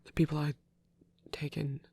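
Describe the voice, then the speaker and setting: A man performing a soft ASMR roleplay as a lonely, gentle old vampire priest, speaking haltingly and tenderly in formal words, breathy and often near a whisper. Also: a little high, little pitch movement, dry recording. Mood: wistful, tender.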